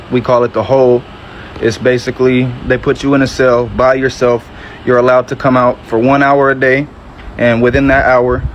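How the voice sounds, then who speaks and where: A man, giving a measured, reflective personal testimony and often correcting himself. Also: mid-range voice, a little hiss or hum, rough recording. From Metro Atlanta, Georgia, USA. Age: early 20s.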